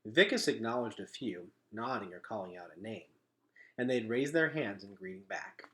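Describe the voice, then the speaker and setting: A man reading a children's novel aloud as a storyteller, with expressive, emphatic phrasing. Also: on the low side, moderate pace. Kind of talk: animated children's book reading.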